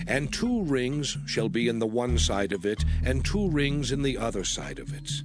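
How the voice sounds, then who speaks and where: A man reading scripture aloud as dramatized audio Bible narration, formal and solemn, with measured phrasing. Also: medium pitch. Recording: prominent music under the voice. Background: music.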